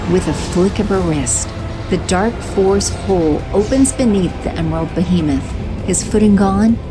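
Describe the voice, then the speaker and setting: A woman narrating a superhero action audio drama in a steady, descriptive storytelling manner. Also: fairly low pitch.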